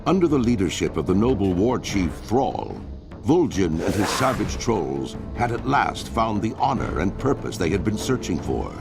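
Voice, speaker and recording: A man delivering solemn, portentous, measured scripted narration for a fantasy game cinematic. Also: on the low side, sing-song, gravelly. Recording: orchestral music behind the voice. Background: cinematic game music.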